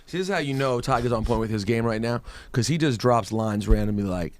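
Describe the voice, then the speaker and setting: Fast-talking joking male co-host. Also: medium pitch, darkish tone. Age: 30s. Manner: quick, joking. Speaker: radio co-host. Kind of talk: casual radio banter.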